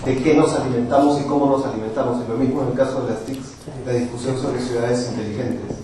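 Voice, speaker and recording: A man from Brazil whose first language is Portuguese, answering questions as an author in a brisk, explanatory way. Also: medium pitch, steady tone, mellow. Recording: distant voice in a very echoey hall, faint noise.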